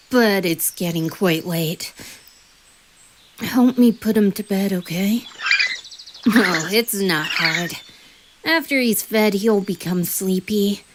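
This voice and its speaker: A woman's voice: mid-range voice, takes it slowly, pauses often, noisy background. A woman playing a playful, easily flustered young male bard and doting single dad in a fan audio roleplay, speaking expressively with exasperated affection. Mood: teasing, affectionate. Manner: expressive, exasperated yet affectionate, sighs and chuckles.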